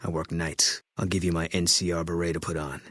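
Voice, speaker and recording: An actor voicing a grim, bitter former army sniper in a video game, guarded and grieving, with terse, flat, brooding delivery. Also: male voice, low-pitched, fairly quick, no room sound, clean studio sound. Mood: grim, resolute.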